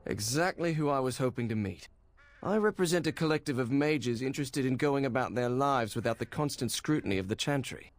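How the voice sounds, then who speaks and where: An actor playing a discreet go-between for secret mages in a fantasy game, formal and persuasive. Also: male voice, mid-range voice, lively intonation, brisk, dry recording, close to an everyday voice. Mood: courteous, assured.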